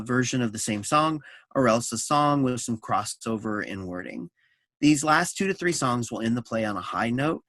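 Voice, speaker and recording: A man's voice: medium pitch, a bit bright, dry recording. A man presenting a staged reading, reading notes aloud and moderating the discussion.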